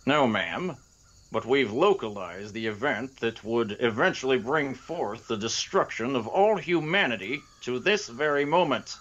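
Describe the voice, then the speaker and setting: Casting: actor as formal, wisecracking male time cop, forceful animated delivery, audio comedy, slightly dull recording. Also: mid-range voice, measured pace. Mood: earnest, official. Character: self-important male time-travel agent, gruffly polite. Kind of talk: comedic audio drama acting.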